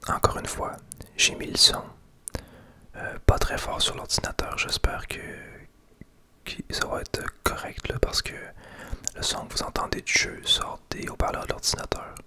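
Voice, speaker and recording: A Québécois man speaks entirely in a soft whisper, with almost no voiced tone. The sound is bright and sibilant. He guides viewers gently and without hurry through a video game, in the style of an ASMR gameplay commentary. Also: normal speed. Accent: Québécois French. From Quebec.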